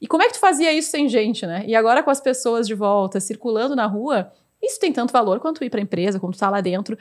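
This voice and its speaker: A woman hosts a relaxed podcast conversation with a fairly high voice. She talks quickly and chattily, dotting her sentences with little tags. The tone stays informal throughout. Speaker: host. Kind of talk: casual podcast chat.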